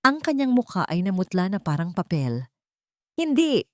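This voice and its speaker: A woman's voice: fairly low pitch, very animated, brisk, a bit bright, no room sound, clean studio sound. A Filipino woman narrating a scripted story in a dramatic storytelling style.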